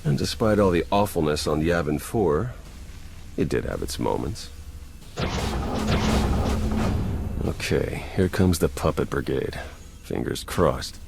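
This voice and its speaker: An actor playing a wry, protective, down-to-earth secret agent in a video game, with a casual, clipped delivery. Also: male voice, a bass voice, lively intonation, a little slow, gravelly, heavy background noise, exaggerated voice. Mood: wry relief. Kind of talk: video game cutscene acting.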